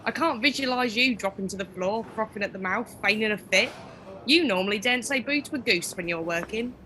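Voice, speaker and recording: A woman's voice: medium pitch, fairly quick, pushed and bright, a little hiss or hum, lightly acted, low-quality recording. A woman playing a nosy, teasing kitchen worker in an audio drama, inquisitive and playful. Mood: dry teasing.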